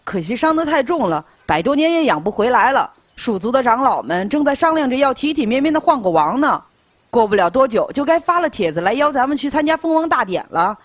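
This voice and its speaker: A woman's voice: high voice, very animated, a little fast, dry recording. A woman playing a chatty, eager young servant boy in a Chinese audio drama, gossipy and deferential to the master. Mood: gleeful gossip.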